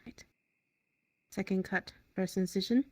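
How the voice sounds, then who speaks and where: Female roleplayer as town medic: medium pitch, matter-of-fact, slightly hesitant, dry close sound. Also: steady tone, unhurried, no room sound. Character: town medic tending a wounded hunter. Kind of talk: improvised roleplay dialogue.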